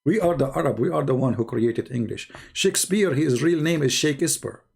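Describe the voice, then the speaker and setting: A man at an ordinary mid pitch hosts a call-in debate stream. He talks in a sarcastic, teasing way, full of hesitations and fillers. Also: expressive, vocal fry, a little mellow, husky. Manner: halting speech with frequent fillers. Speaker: host of a call-in debate show.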